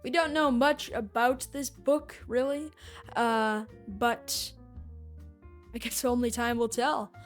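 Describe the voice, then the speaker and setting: A woman's voice: on the high side, fairly even intonation, takes it slowly, soft background music. A woman hosting a podcast review in a casual commentary style, speaking tentatively. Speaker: podcast host reviewing an audio drama.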